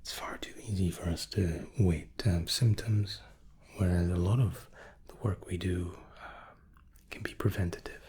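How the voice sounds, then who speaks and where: A man gently whispering an ASMR medical roleplay as a reassuring, unhurried doctor. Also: deep voice, steady tone, a little hiss or hum. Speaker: ASMR roleplay host playing an examining doctor.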